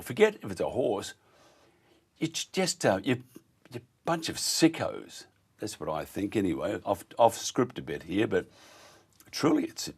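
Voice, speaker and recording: A hoarse Australian man hosting a live talk radio show, blunt and ranting, mocking others in put-on voices. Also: mid-range voice. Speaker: radio host.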